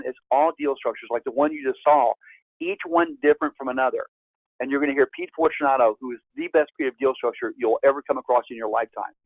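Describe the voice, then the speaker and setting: Gravelly man on a tinny call line. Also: dry recording. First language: Spanish. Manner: emphatic teacher, sudden upward pitch jumps on key points. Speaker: real estate investor presenting a course. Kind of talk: webinar presentation. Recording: tinny phone-like line, dry.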